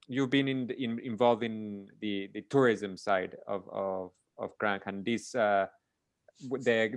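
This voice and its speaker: A man's voice: lowish voice, no room sound. A man hosting a casual interview, rambling through long questions and restarting them with many fillers. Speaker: interview host.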